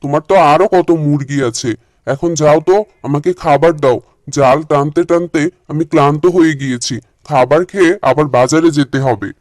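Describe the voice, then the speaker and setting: A man's voice: on the high side, a little fast, darkish tone, no room sound, studio quality, close to an everyday voice. A man voicing a weary, practical village fisherman husband in a cartoon. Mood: weary exasperation. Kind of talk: cartoon character dialogue.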